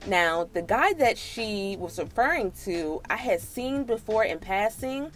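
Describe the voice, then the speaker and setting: A woman in her late twenties with an American accent tells a personal story casually, in an animated, sassy, slangy way. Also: medium pitch, measured pace. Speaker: storytime vlogger.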